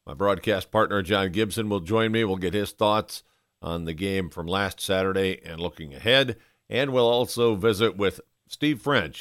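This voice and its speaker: A man's voice: sits low, fairly bright tone, no room sound, studio quality. A man hosting a sports show, delivering a scripted recap in an announcer's style. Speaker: sports podcast host and football play-by-play announcer. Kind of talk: scripted recap and live play-by-play commentary.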